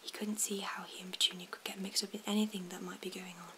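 A woman reads a storybook in a soft, slow whisper. Her delivery stays even and hushed. Noticeable hiss and small mouth clicks run through the recording. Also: medium pitch. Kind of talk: whispered ASMR storybook reading.

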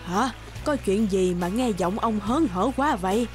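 A woman voicing a kindly, cheerful older fisherman's wife in a cartoon fairy tale, warm and eager. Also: mid-range voice, animated, measured pace, music in the background, natural voice. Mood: cheerful, curious.

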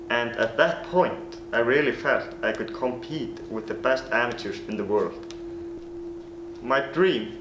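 A man's voice: medium pitch, background noise. Earnest young man, echoey room. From Iceland. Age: late teens. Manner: earnest, rehearsed delivery. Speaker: golf recruit. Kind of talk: scripted self-introduction to coaches. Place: small plain room indoors.

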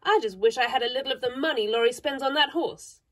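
A prim little girl chatters on earnestly, fussing over manners and reaching for grand words, as a woman reads her part. The voice sits high and a little breathy, as in a storybook reading. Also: fast talker. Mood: pleading. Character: young girl, prim, vain, fond of big words.

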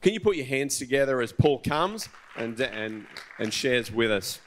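Aussie pastor guy, middle-aged, introducing the guest, loose and halting, handheld mic on stage. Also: mid-range voice, animated, a bit bright, a little hiss or hum. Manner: informal, hesitant with fillers and restarts. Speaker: church pastor. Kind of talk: introducing a speaker. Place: large dark church stage.

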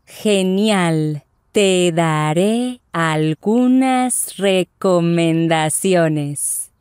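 A woman voicing Isabella, a friendly young traveler in a scripted lesson dialogue, speaking with clear careful enunciation. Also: lowish voice, extremely slow, a little mellow, dry recording, clean studio sound. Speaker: voice actor in language lesson dialogue. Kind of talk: scripted slow and normal-speed lesson dialogue.

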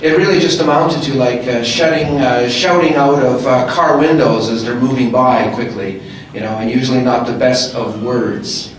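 A man's voice: medium pitch, moderate pace, a little hiss or hum. A man from rural Manitoba telling his story as a panelist on a handheld mic, self-deprecating with some fillers. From rural Manitoba, Canada. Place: large convention hall. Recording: echoey hall, distant camera mic.

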